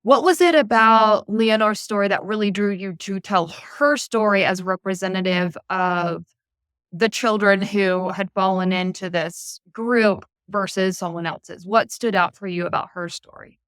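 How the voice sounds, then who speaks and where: This is a woman hosting a podcast who asks thoughtful questions and murmurs along attentively while her guest speaks. Her voice is smooth and resonant, recorded dry and close. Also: mid-range voice, measured pace, a bit bright, dry recording. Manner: attentive, frequent listening murmurs. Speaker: podcast host. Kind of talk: interviewing.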